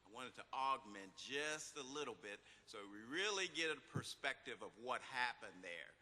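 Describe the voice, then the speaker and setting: A middle-aged or older man reading a case summary aloud to an audience, formal and deliberate, with occasional stumbles. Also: mid-range voice, animated, bright, forceful tone. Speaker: reader at a legal commemoration event. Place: large hall at a public event. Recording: reverberant hall.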